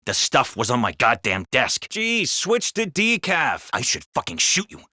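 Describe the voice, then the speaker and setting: An actor playing a jaded, foul-mouthed, sardonic police officer in a video game. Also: male voice, medium pitch, huge pitch swings, a little slow, bright, forceful tone, no echo, clean studio sound, full character voice. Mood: wry, gossipy. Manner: gossipy, sardonic, swearing. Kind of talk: scripted video game voice acting.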